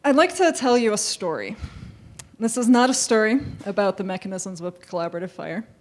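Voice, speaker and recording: A woman panelist speaking with a slightly hoarse voice into a handheld mic, telling a story in an earnest, deliberate way on a conference stage. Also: medium pitch, expressive.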